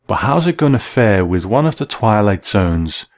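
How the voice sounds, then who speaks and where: A man's voice: on the low side, sing-song, moderate pace, no echo, studio quality. A man presenting a scripted review in a conversational, explanatory style.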